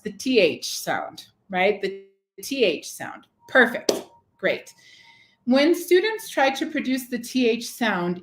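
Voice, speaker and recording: A woman giving a live online training for teachers, engaging the audience with questions and frequent "right?" check-ins. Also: medium pitch. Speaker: teacher trainer presenting a webinar. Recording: roomy, echoey webinar audio.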